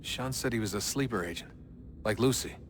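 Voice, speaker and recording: A man's voice: medium pitch, a little fast, noisy background, close to an everyday voice. An actor playing a laid-back, curious young man in a video game, speaking casually and briefly with understatement. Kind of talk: naturalistic video game acting.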